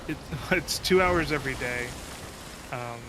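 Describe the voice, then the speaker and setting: A man with a mid-range voice chats casually during an online game. He explains details informally to his friends, with clear noise behind him. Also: medium pitch, measured pace. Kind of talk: casual chat while gaming.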